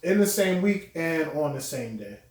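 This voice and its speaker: Man, young adult, high voice, casual rambling chat, echoey room, distant mic. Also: very animated, normal speed, darkish tone. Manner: laid-back, many fillers and restarts. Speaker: podcast guest.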